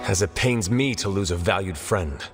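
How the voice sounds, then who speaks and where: Male actor playing grieving sorcerer, lowish voice, grave, formal, theatrical, game cutscene, clear music behind. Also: moderate pace, lightly acted. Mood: mournful, accusing. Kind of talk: cinematic video game dialogue.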